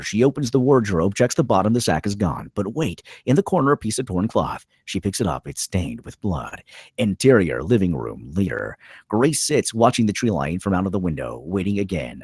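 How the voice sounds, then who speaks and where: A man's voice: deep voice, very animated, moderate pace, a little mellow, breathy edge, no room sound, clean studio sound. A man reading screenplay stage directions as scripted narration, in terse, dramatic phrases.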